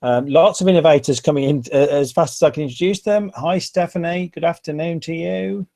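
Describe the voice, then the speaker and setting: A man's voice: a little high, brisk, gravelly, warm tone. A man hosting a webinar call, introducing guests with friendly, hesitant fillers. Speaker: webinar host. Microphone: video call.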